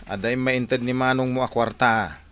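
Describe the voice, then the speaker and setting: A man's voice: mid-range voice, steady tone, normal speed, fairly bright tone, clean tone, natural voice. A man acting in an Ilocano radio drama as an idle husband who coaxes and pleads playfully. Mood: wheedling. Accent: Ilocano, northern Philippines. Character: idle, wheedling husband sweet-talking his hardworking wife.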